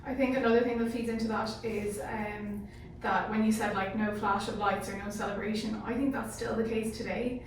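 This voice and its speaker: A woman's voice: mid-range voice, fairly even intonation, a little fast, hardly any pauses. A woman talking informally in a group discussion, often voicing what others would say. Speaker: panel team member. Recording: very echoey room, distant mic, faint noise.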